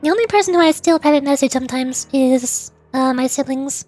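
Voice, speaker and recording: A woman streamer with a high voice chats casually while she plays a game. She talks in a hesitant, self-deprecating way, often halting mid-thought. Game music plays softly behind her. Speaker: livestreamer, VTuber voice actor. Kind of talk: casual livestream chat while playing a game.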